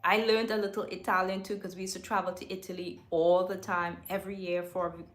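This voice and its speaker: A woman's voice: fairly low pitch, flat delivery, fast talker, fairly bright tone. Woman rambling on a livestream chat, candid, lots of restarts, roomy echoey sound. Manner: rambling and candid, with frequent self-corrections. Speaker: livestream host. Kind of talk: casual unscripted livestream chat. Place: home studio.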